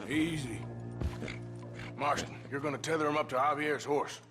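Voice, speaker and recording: An actor in his thirties playing a gruff, rugged outlaw with a dry, laconic drawl, in a game cutscene. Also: on the high side, fairly even intonation, normal speed, over music, natural voice. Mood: commanding.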